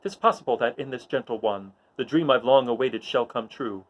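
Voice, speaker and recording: A man voicing a solemn, weary but hopeful old mentor in a measured Shakespearean storybook reading. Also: mid-range voice, dull audio, close to an everyday voice. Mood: solemn, resolved.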